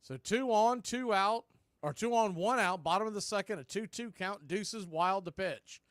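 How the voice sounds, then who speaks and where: A man's voice: moderate pace, fairly bright tone, no room sound. Dry-sounding man calling baseball play-by-play. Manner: clipped pitch calls mixed with conversational asides. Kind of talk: live sports play-by-play commentary with scoreboard asides.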